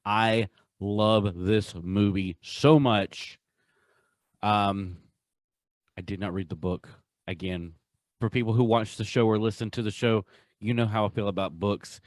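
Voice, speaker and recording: Male podcast host, 40s, fairly low voice, halting and gushing, casual chat. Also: fairly even intonation, dry recording, studio quality.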